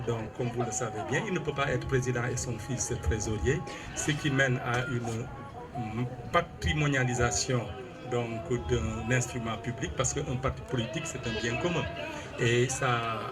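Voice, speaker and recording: A Senegalese man in his sixties giving a formal press statement, measured and hesitant with many fillers. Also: medium pitch. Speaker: retired civil administrator and local politician. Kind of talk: press statement answering an interviewer.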